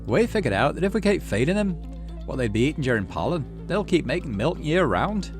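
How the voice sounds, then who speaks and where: A folksy, upbeat farmer dad from a visual novel, acted out by a man, pitched well up with lively swings. He explains things to his kid in a chummy, cheerful way over music. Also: a little fast. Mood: proud. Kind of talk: visual novel character read aloud.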